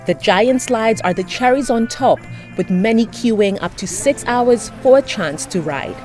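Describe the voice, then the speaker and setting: A woman reporter narrating a scripted news feature in a lively, animated way. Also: a little high, measured pace, faint music behind. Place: outdoors in a snowy city.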